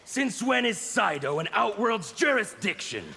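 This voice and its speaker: Gravelly, fanatical anarchist villain. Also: male voice, high-pitched, sing-song, unhurried, heavily acted. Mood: scornful. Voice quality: gravelly, forceful. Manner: menacing, theatrical, declamatory. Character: fanatical burned male anarchist revolutionary villain. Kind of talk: theatrical video game character acting. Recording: long reverb, faint background noise.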